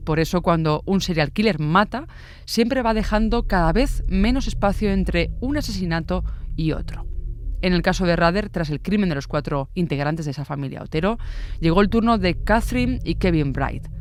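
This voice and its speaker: A woman narrating a true-crime podcast in a steady, explanatory way. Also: lowish voice, normal speed, a little hiss or hum.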